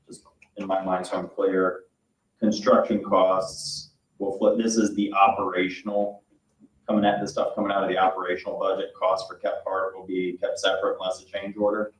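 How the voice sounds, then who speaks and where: A man speaking as a board member, informally asking process questions in a public meeting. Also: low voice. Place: indoor town board meeting room. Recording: echoey room, distant microphone.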